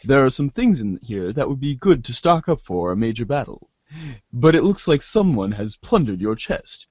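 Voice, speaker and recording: A man's voice: medium pitch, big pitch swings, moderate pace, darkish tone, dry recording. A man narrating a webcomic in an amateur reader's theater with mock-grave commands, on a muffled recording.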